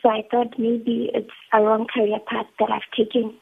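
Female caller, 20s, tiny high voice, hesitant, phone line. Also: monotone, normal speed, gravelly, husky, no echo. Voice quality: small, tiny voice. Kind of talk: call-in question on the phone.

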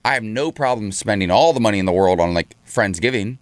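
A man co-hosts a morning show in a chatty, excitable way. His voice sits low and sounds a little nasal from a cold. The recording is dry. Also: brisk, no room sound. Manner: chatty, excitable, restarts words. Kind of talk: casual chat.

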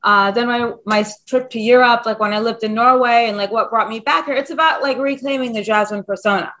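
A woman's voice: a little high, a little fast, fairly bright tone. A woman hosting a podcast, rambling enthusiastically through her stories in casual chat.

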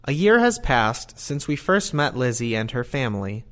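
A man's voice: mid-range voice, big pitch swings, moderate pace, fairly bright tone. A man narrating an audio play, reading out scripted stage directions and scene changes.

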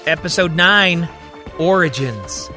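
A man narrating a scripted serial drama as a storyteller, deliberate and suspenseful. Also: a little high, expressive, over music.

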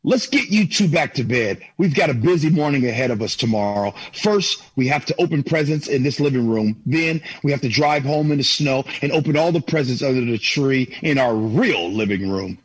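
A man's voice: mid-range voice, expressive, normal speed, hardly any pauses, husky, poor audio. An actor playing an affectionate, earnest 1950s family father in an old-time radio drama. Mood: cheerful, earnest. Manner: earnest, folksy.

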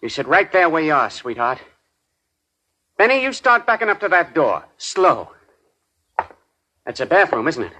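An actor playing a menacing, coolly sadistic gangster in a 1940s radio drama, taunting and deliberate. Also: male voice, a little high, very animated, sharp tone. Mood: cold menace. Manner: taunting, slow and deliberate threats. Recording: noisy, clicking old radio recording.

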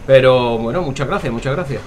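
A man's voice: medium pitch, fairly quick, slight background noise, lightly acted. A man playing a jovial, courteous restaurant waiter with an Andalusian accent in an audio drama. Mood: puzzled, polite. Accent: Andalusian Spanish. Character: affable, obliging restaurant waiter. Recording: busy restaurant chatter behind.

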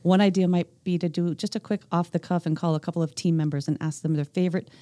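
A woman co-hosting a podcast in casual, chatty talk, quick to exclaim in reaction. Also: on the low side, steady tone, dark tone, no room sound. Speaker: podcast co-host.

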